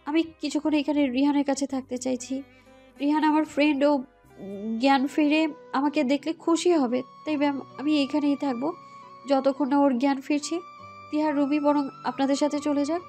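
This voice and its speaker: A caring, stubborn college girl acted by a woman speaks in an audio romance. Her voice is high and earnest, full of feeling, over faint music. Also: little pitch movement, moderate pace, mellow, close to an everyday voice. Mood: earnest, insistent. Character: young female university student, caring and stubborn. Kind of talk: audio story character acting.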